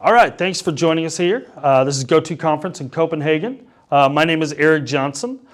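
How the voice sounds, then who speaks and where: A man interviewing guests at a conference, casual and jokey, with quick quips and laughter. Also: a little high, animated. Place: conference venue.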